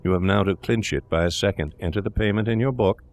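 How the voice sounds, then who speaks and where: A man's voice: lowish voice, fast, soft background music, natural voice. A man voicing a stern, calculating young doctor and murderer in a gothic audio drama, speaking with controlled, menacing insistence. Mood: persuasive, cold.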